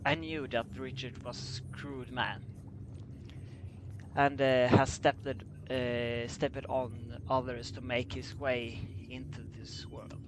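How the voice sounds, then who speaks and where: A man reads a story aloud in a mid-pitched voice. His delivery is slow and halting, and he trips over words like an amateur reader. Some background noise sits behind him. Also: level tone. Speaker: amateur fan fiction reader. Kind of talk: scripted story narration, read aloud.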